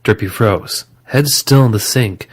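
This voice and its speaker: A man's voice: lowish voice, a little slow, husky. A man reading scripted story narration as the narrator of an audio drama.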